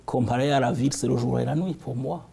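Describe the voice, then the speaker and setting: A man from the region, answering interview questions thoughtfully, with halting restarts. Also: medium pitch, fairly even intonation, gravelly, breathy edge, soft background music. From African Great Lakes region. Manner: thoughtful, halting, with frequent restarts and warm asides.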